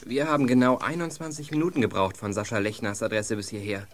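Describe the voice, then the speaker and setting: An actor playing a self-assured teenage detective in a radio play, reasoning things out assertively. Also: male voice, lowish voice, steady tone, quick pace, a little hiss or hum, lightly acted. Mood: matter-of-fact. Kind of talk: German youth radio play acting.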